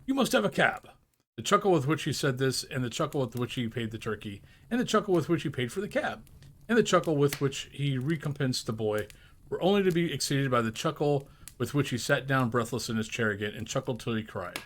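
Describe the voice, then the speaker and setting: Animated man narrating a storybook. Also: mid-range voice, brisk. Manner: theatrical, emphatic, occasional stumbles over words. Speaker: audiobook narrator.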